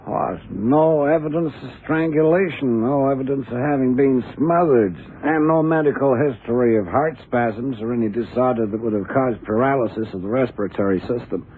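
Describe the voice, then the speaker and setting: An actor playing a hesitant, matter-of-fact doctor in an old radio drama, explaining things conversationally. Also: male voice, medium pitch, fairly quick, hardly any pauses, natural voice. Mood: earnest, hesitant. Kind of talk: 1970s radio drama acting. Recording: narrow, faintly hissy old radio recording.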